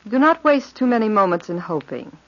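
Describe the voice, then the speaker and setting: An actress playing an imperious noble countess in an old-time radio drama, gracious and coolly playful with a regal, measured delivery. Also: female voice, medium pitch, big pitch swings. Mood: amused, commanding. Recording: dull vintage radio recording.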